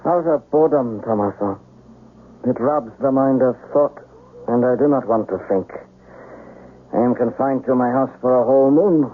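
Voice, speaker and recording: An actor playing a melancholy, lovesick young samurai's son in a 1970s radio ghost tale, speaking formally and languidly. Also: male voice, medium pitch, normal speed, natural voice. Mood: weary, brooding. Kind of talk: 1970s radio drama acting. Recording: noisy, tinny 1970s radio broadcast.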